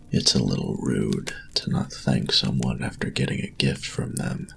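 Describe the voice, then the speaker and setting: Guy acting as a cocky flirty bully, super low voice, slow taunting drawl that swoops around a lot, intimate audio roleplay. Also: fairly bright tone, a little hiss or hum, heavily acted. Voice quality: gravelly, often dropping to a whisper. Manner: taunting, breathy, drawn-out delivery.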